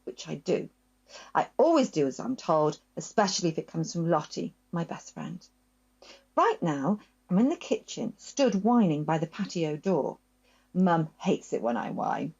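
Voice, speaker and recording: A woman reading a children's story aloud in a gentle, expressive storytelling voice, telling it in the first person as a dog. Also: mid-range voice, dull audio.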